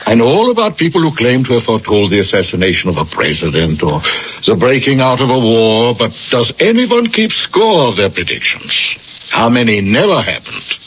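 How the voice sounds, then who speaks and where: An actor playing a skeptical, patronizing psychiatrist in a radio drama, questioning pointedly with measured emphasis. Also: male voice, mid-range voice, very animated, a bit bright. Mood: dismissive. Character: skeptical, probing male psychiatrist, patronizing but patient. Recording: dull, hissy old radio recording.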